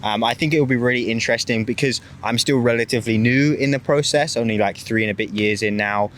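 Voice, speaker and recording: A man's voice: medium pitch, fast, fairly bright tone. A young man from the United Kingdom, hosting a casual podcast chat in an easygoing, rambling way. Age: young adult. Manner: easygoing, rambling, laughs often. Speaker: podcast host.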